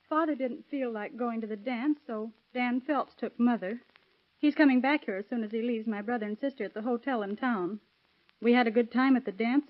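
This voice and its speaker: An actress playing a dutiful young daughter, earnest and controlled, in a 1930s radio drama. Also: female voice, on the high side, natural voice. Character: composed young daughter of a murdered man, dutiful. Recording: dull vintage radio recording.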